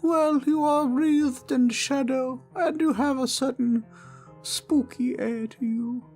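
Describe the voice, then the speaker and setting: High, slow, innocent little teddy bear. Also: fairly even intonation, soft background music. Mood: politely brave. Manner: gentle, innocent, polite, chatty. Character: small friendly naive teddy bear, earnest, polite protector. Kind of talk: ASMR comfort roleplay acting.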